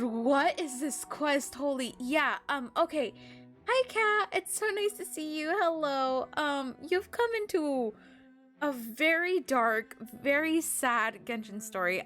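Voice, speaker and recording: Higher-voiced woman chatting on a livestream. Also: a bit bright. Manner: halting, filler-heavy, gushing and emotional. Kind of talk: casual live game stream chat, recapping to viewers.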